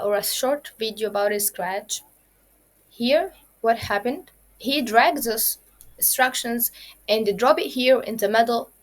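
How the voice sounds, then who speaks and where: A woman teaches children the basics of coding. Her voice sits a little high, and she explains each point step by step and repeats it, with plenty of fillers along the way. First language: Arabic. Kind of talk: kids' coding lesson.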